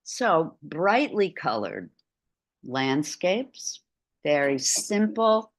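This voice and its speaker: A woman's voice: low-pitched, big pitch swings, extremely slow. A middle-aged woman giving an art lecture over a video call from a room at home, with chatty asides.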